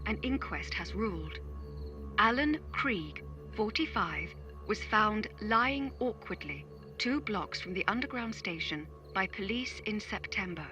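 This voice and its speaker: A woman voicing a polite, unflappable phone voice assistant in an audio drama, measured and impersonal, through a small device speaker. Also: mid-range voice, expressive, sharp tone, soft background music. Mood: neutral, informative. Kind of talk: audio drama acting, scripted read-out. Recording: dull lo-fi device-speaker sound.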